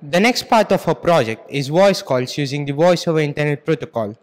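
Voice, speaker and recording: A teenage male student with an Indian accent delivers a rehearsed school presentation. He speaks formally, in a voice a little higher than average for a male. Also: lively intonation, fairly quick. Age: teens, about 15. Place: school computer lab, indoors. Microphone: handheld microphone.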